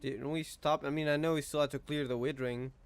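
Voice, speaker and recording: A man's voice: a little high, fairly even intonation, quick pace, smooth. A man casually reacting to a game playthrough, thinking aloud and asking questions as he plays. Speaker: gaming streamer.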